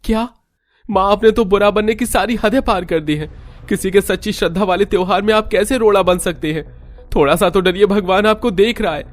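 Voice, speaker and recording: A man's voice: sits high, fairly even intonation, fairly quick, faint music behind, lightly acted. A man voicing a hurt, reproachful younger son in a moral-story cartoon.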